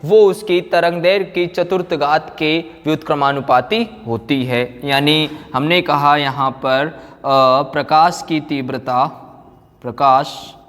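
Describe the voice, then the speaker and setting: A male teacher with a slightly high voice gives a classroom lecture. He goes slowly, repeating himself and posing rhetorical questions as he explains. The room adds a long, hall-like reverb. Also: fairly even intonation, fairly bright tone. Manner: repetitive, explanatory, with rhetorical questions and check-ins. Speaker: physics teacher.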